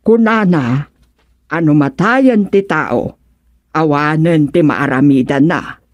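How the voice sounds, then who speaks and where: Devout, exasperated niece, dry studio sound. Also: female voice, low voice, takes it slowly, dry recording. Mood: dismayed, complaining. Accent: Filipino. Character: devout, exasperated niece worried about a wayward uncle. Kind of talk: Filipino radio serial drama acting.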